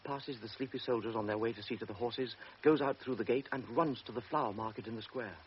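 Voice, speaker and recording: A man narrating a radio drama in a measured, even storytelling manner. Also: mid-range voice. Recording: dull old radio broadcast, faint hiss.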